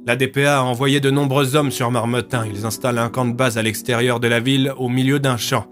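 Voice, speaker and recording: A man's voice: medium pitch, fairly even intonation, fairly quick, fairly bright tone, faint music behind, lightly acted. A man playing an earnest, weary male police inspector in an audio drama. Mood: serious, concerned.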